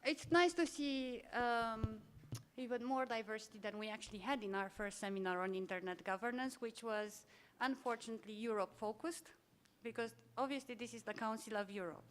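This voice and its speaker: A woman's voice: on the high side, brisk, a little hiss or hum. Woman moderating a conference workshop. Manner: fluent, explanatory, frequent um fillers. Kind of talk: explanatory talk at a workshop. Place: conference room.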